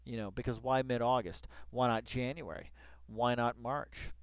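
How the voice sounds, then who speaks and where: A man's voice: mid-range voice, fairly even intonation, moderate pace, clear, resonant tone, dry recording. A man reading an article aloud with casual, profane commentary, muttering asides and repeating phrases in an exasperated way. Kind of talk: rambling read-along commentary, casual and profane.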